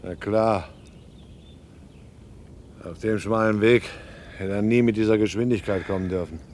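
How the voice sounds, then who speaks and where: Male actor as emphatic sidekick, low voice, self-righteous, radio drama, slight background noise. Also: steady tone, unhurried, a little mellow, lightly acted. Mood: assured. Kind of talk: satirical radio drama acting.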